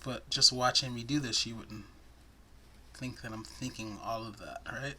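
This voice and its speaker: A man teaching crochet step by step in a soothing, soft-spoken voice, chatting between stitches. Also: mid-range voice, moderate pace, a little hiss or hum. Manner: soft-spoken, chatty, self-deprecating asides. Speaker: crochet tutorial host.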